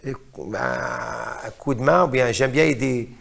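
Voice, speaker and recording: Gravelly middle-aged man from Morocco. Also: mid-range voice, slow, a crowd in the background. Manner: hesitant, repeats words, searches for phrases. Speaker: singer. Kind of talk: casual interview.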